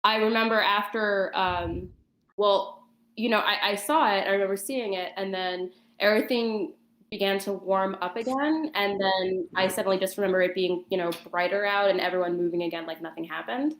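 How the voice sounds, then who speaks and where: A woman giving a personal account as a guest, in casual conversational storytelling with somewhat halting, hesitant phrasing. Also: medium pitch, steady tone, a bit bright. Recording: roomy, echoing voice chat.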